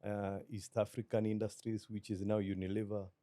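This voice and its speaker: A middle-aged Kenyan man with a Kenyan accent, a business guest talking in a measured, reflective way and slipping into Swahili. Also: fairly low pitch, flat delivery, dark tone, no room sound. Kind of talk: relaxed interview talk.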